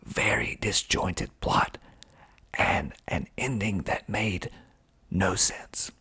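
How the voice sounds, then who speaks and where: A man whispering breathily in ASMR style into a close mic, reading aloud slowly and deliberately with dry asides. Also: low voice, lively intonation, creaky, bright, forceful tone, breathy edge. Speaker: filmmaker and ASMR video host. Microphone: close mic with pop filter.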